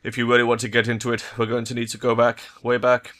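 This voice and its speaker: A man's voice: medium pitch, fast talker, lightly acted. Man reading as cold, detached cyborg woman. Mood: guarded, suspicious. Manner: cool, detached monotone. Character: cold, guarded cyborg woman teammate with painful past.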